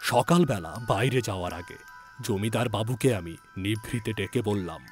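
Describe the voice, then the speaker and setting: A man narrating a scripted story in a deliberate, suspenseful, dramatic style. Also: lowish voice, soft background music.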